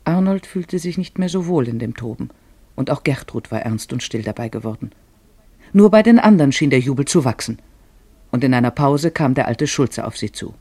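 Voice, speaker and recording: A man narrating a literary tale for a radio drama, expressive and measured in his phrasing. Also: mid-range voice, husky, no room sound.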